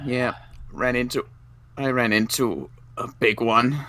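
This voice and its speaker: A man acting as an injured, wry survivor, groaning and halting through pain in a game roleplay. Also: mid-range voice, pushed and bright. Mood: pained, earnest.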